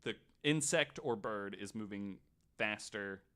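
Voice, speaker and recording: A man's voice: fairly low pitch, unhurried, fairly bright tone, clean tone. A man in casual podcast conversation, retelling researched stories with a joking, sarcastic, sometimes sweary manner. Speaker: podcast storyteller on a panel.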